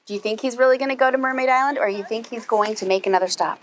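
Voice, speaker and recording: A woman reading a children's story aloud as a preschool storyteller, animated and putting questions to her young listeners. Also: a little high, very fast, a little hiss or hum.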